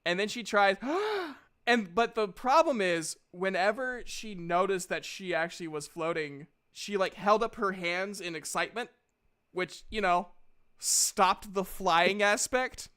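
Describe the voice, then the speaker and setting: A woman with a smooth voice and middling pitch runs a tabletop game. She narrates casually and slowly, making it up as she goes and often restarting phrases. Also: lively intonation, a bit bright. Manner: halting, restarts descriptions mid-phrase. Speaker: game master.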